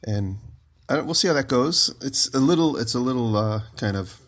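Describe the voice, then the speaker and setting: A man co-hosting a podcast, just out of bed with his voice lower than usual, telling long rambling stories from home over a remote call. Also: animated, moderate pace. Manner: rambling storyteller, giggly. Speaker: podcast co-host. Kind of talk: casual chat.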